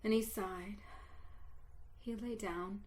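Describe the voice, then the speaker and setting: A woman reading a story aloud as narrator, in a slow, expressive telling that builds to exultant emotion. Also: mid-range voice.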